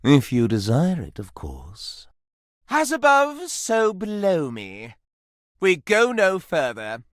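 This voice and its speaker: A man voicing a flamboyant, lustful antique candelabra in a video game, with a pompous, florid, melodramatic delivery full of breathy swoons and purrs. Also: sits high, huge pitch swings, slow, dry recording, clean studio sound, full character voice. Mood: seductive. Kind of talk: video game character acting.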